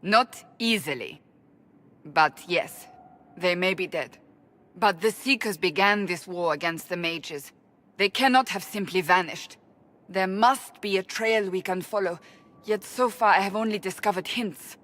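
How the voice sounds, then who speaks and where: An actress voicing a stern, earnest woman warrior who speaks in a serious, measured way. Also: mid-range voice, fairly bright tone, lightly acted.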